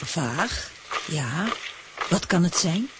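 A woman acting in a radio drama as a sceptical, exasperated wife who contradicts drily in a husky voice. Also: fairly low pitch, big pitch swings, extremely slow, very noisy, full character voice. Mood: dismissive.

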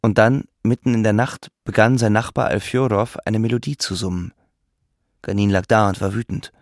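Low-voiced man narrating, very dry sound. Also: fairly even intonation, normal speed, no room sound. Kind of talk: scripted literary narration.

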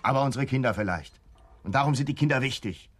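An actor playing a cool, calculating militant gang leader with a conscience, measured and commanding, in a German radio play. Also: male voice, medium pitch, a bit bright, close to an everyday voice. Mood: earnest, firm. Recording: 1970s radio play, clear noise.

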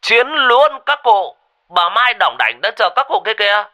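A cheeky young villager, as a male storyteller puts him on teases in a very bright, high voice. His pitch leaps around playfully. Comic storybook telling. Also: sing-song, full character voice. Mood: teasing. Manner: teasing, hurried.

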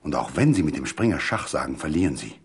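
A man voicing an intense middle-aged gentleman, a chess expert who speaks hastily and precisely in a literary radio reading. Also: a bass voice, very animated, fast talker, gravelly, husky, heavily acted. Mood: urgent, precise. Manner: hasty, precise, urgent.

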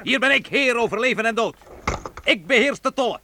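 A man's voice: very high-pitched, animated, brisk, a crowd in the background. An actor playing a haughty, threatening knight in a medieval radio play, barking imperious orders as lord of his land. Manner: imperious, emphatic commands.

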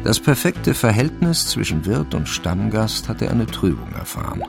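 A man narrating a crime radio play in a measured, wry, literary manner. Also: sits low, vocal fry, full character voice. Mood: dry, measured. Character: dry, omniscient male narrator of a village crime story. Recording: music behind the voice. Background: instrumental music.

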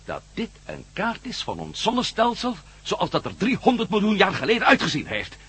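A man's voice: high voice, huge pitch swings, a little fast, a bit bright, breathy edge, heavily acted. A man playing a keen, knowledgeable explorer in a Dutch radio drama, explaining things with dramatic emphasis. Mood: intrigued, explaining. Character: keen, knowledgeable male explorer reasoning out an ancient mystery.

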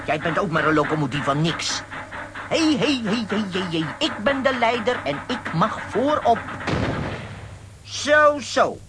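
A man playing a vain, blustering self-appointed leader in a children's comic audio drama, excitable and boastful, with a husky voice. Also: high-pitched, measured pace, noisy background. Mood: pompous, scornful.

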